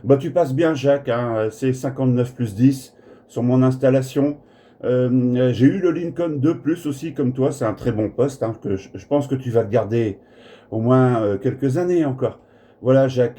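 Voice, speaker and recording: A man's voice: medium pitch, normal speed, dark tone. A man chatting casually as an amateur radio operator, hesitating and repeating words. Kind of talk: casual radio contact chat. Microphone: smartphone recording in the room.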